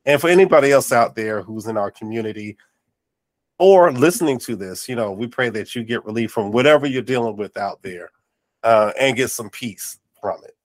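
A man's voice: medium pitch, animated, normal speed, no echo. A man hosting an interview podcast, sounding nasal and congested, with affirming and encouraging talk. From North Carolina. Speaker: podcast host. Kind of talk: casual interview chat.

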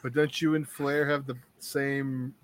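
A man's voice: medium pitch, flat delivery, a little slow, crowd noise. A man co-hosting a podcast, talking in a casual conversational style. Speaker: podcast co-host. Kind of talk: casual chat.

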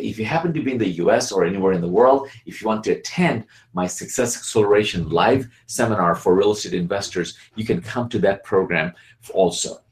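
A man from Bangladesh giving an energetic, persuasive sales pitch for his real estate program. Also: reverberant. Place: Tampa, Florida.

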